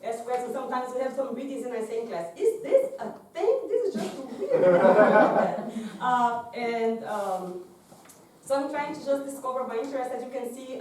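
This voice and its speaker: A woman's voice: a little high, darkish tone, very echoey, distant mic, a little hiss or hum. A woman from Brazil with a Portuguese accent, a doctoral student giving frank panel remarks with frequent self-corrections. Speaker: doctoral student, church music director.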